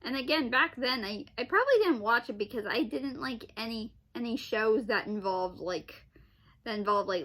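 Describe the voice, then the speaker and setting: A woman's voice: on the high side, bright, forceful tone. A young woman giving casual, off-the-cuff video commentary in a rambling, hesitant way, in broken phrases with exclamations. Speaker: video host.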